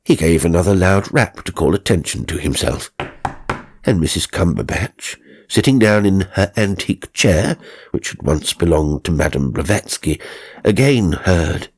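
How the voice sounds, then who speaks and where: Deep, gravelly male ghost story narrator. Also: lively intonation, darkish tone. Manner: theatrical, measured storytelling. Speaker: audiobook narrator.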